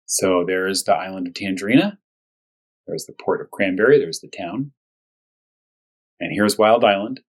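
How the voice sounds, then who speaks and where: A man speaking as a first grade teacher at storytime, talking to young children in simple, short, repeated phrases, with a husky voice. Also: deep voice, normal speed, pauses often. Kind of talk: storytime read-aloud and discussion for young children.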